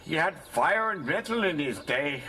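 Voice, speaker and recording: An actor playing a gossipy, wry rustic farmer in an audio drama, with a theatrical storytelling delivery. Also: male voice, on the high side, animated, normal speed, bright, forceful tone. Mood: sly, reassuring. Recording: faint background noise.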